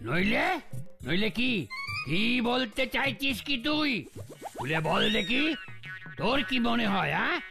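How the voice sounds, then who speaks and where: A man voicing a bossy, easily exasperated older gentleman coach in an animated cartoon. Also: very high-pitched, unhurried, vocal fry, pauses often, over music, heavily acted. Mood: exasperated scolding. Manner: bossy, scolding, repeats words when flustered.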